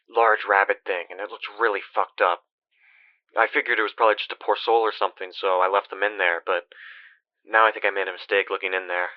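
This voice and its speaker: An actor playing a guilt-ridden, trapped dead man in a horror game, talking in a halting, weary, confiding way with swearing, like a desperate older brother. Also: fairly low pitch, no echo, muffled recording, close to an everyday voice. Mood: tense, urgent. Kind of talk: horror game phone-call voice acting.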